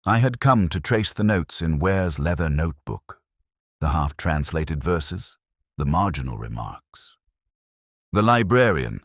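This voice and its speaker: Very deep-voiced man narrating a detective story. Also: dry recording, studio quality. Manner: measured, literary, reflective delivery. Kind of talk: scripted first-person detective story narration.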